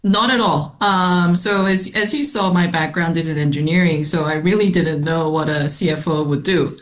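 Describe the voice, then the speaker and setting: A woman from South Korea, a company CFO, answering interview questions in a measured way with frequent ums and uhs. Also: fairly low pitch. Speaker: CFO guest. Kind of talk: podcast interview.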